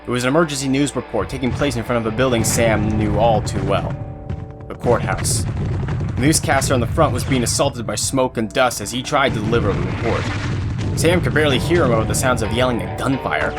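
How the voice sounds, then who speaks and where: A man's voice: medium pitch, a little fast. A man giving scripted third-person narration for an audio drama. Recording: faint music under narration. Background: faint music.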